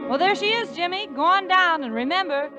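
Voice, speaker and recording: A woman's voice: very high voice, animated, normal speed, muffled recording. A woman on a 1940s radio comedy show, peppy and upbeat. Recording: old radio broadcast over loud music.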